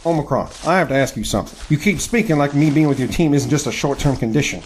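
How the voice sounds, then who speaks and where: An actor playing a plainspoken, wary recruit to a secret mission in an audio drama, earnest and questioning. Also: male voice, fairly high pitch, lively intonation, fast, a little mellow, slight background noise, lightly acted. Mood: friendly, curious.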